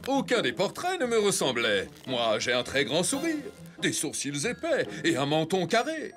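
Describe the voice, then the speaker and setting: A man's voice: a little high, huge pitch swings, normal speed, music in the background. A man playing a vain but well-meaning toy hero in a children's cartoon, with pompous, theatrical delivery. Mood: boastful.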